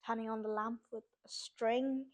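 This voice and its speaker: A woman's voice: fairly high pitch, steady tone, moderate pace. A young woman from Sweden, chatting casually as an author guest in an interview and using lots of "like" fillers.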